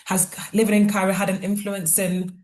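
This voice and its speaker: A woman's voice: mid-range voice, little pitch movement, brisk, a little mellow. A woman hosting an interview, asking chatty, curious questions in a friendly way. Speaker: host, interviewer. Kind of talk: casual interview.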